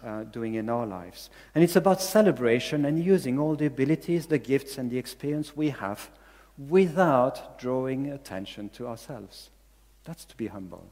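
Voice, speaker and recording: A man with a Belgian accent preaches in English, his second language, with an earnest delivery that leans on repeated phrases. His voice sits at an ordinary middle pitch. The sound carries a long reverb, as in a large hall. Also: darkish tone. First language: not English. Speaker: pastor. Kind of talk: sermon.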